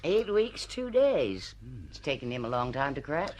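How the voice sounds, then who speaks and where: Radio drama: a pushy, domineering female editor, brisk and emphatic, with very animated intonation. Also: sits low, background noise. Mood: coolly dismissive.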